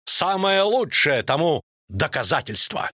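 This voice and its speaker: A man's voice: fairly high pitch, moderate pace, pushed and bright, dry recording, clean studio sound, voice pushed up. A man voicing a bold, commanding armored knight in a fantasy audiobook, speaking in an emphatic, declamatory way. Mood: proud, commanding.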